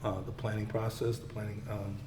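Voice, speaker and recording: A man chairs a town board meeting and moves it briskly from item to item. His voice is on the low side. Echo and background noise fill the meeting room. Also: little pitch movement. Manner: brisk, agenda-driven.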